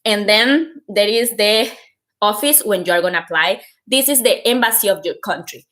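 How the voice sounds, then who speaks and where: A woman with a Costa Rican Spanish accent talks through advice in an informal livestream style. Her voice sits at a medium pitch. She explains step by step, stressing important points and often dropping in "like" as she goes. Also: normal speed, a bit bright. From Costa Rica. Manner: explanatory, repeats and stresses key points, frequent "like". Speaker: host giving application advice.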